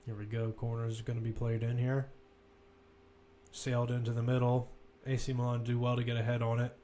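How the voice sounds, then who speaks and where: A man's voice: medium pitch, completely flat delivery, fairly quick, mellow, slight background noise. Man calling live football play-by-play. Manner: running description with self-corrections and asides. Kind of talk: live sports commentary.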